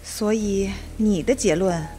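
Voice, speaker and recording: A woman playing a composed, authoritative judge in a Mandarin radio drama, speaking in a measured, probing way. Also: medium pitch, warm tone, soft background music, natural voice. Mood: cool, commanding.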